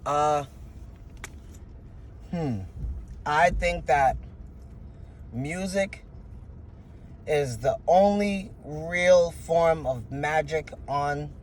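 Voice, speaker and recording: A man's voice: on the high side, extremely slow, long pauses, slight background noise. Froggy-voiced man in his thirties. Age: 30s. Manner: rambling, frank, full of filler words. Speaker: interview guest, rapper. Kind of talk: candid interview answers.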